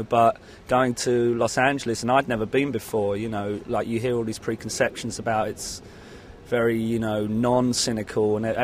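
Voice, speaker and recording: Man rambling casually, faint traffic noise. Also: on the low side. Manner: rambling, hesitant, peppered with 'you know'. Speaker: musician being interviewed. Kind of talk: casual interview talk.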